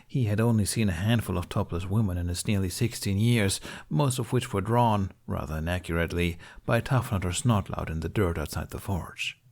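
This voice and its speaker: A man's voice: deep voice, a little fast, gravelly, hardly any pauses, darkish tone. A man reading a scripted story as an audiobook narrator.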